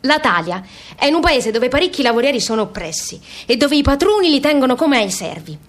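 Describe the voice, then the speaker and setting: An actress voicing a pompous, unschooled emigrant with a southern Italian accent, reciting a grandiloquent letter full of mangled formal words in a radio play. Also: female voice, high voice, sing-song, fairly quick. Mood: mock-solemn. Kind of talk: theatrical comic recitation.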